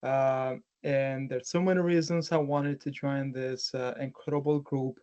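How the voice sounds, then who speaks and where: Flat-voiced man on a dull line. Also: medium pitch, a little slow. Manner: earnest, frequent uh fillers. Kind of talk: self-introduction. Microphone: video call.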